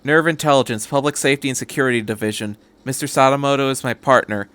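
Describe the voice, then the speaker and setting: A man's voice: mid-range voice, quick pace, a bit bright, smooth, close to an everyday voice. Story reading: man as female undercover agent, curt, cool, disdainful. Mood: resigned.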